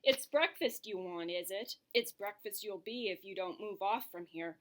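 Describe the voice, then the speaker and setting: A woman's voice: medium pitch, normal speed, natural voice. A woman voicing an ogre's big, bossy wife who scolds and coaxes in a children's puppet show. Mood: gruff warning. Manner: sing-song, swooping between scolding and coaxing.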